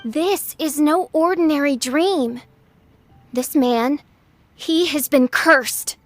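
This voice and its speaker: A very high-pitched young gothic noblewoman, pompous and melodramatic. She pronounces judgment in slow, archaic, grandiose phrases, in fantasy game style over music. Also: dry recording. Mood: ominous, grandiose. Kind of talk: animated game voice acting.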